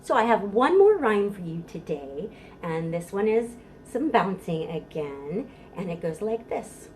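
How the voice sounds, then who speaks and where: A woman's voice: mid-range voice, big pitch swings, a little slow, darkish tone, a little hiss or hum. A middle-aged American woman leading a storytime for babies as a children's librarian, giving instructions and reciting rhymes in a sing-song, encouraging way.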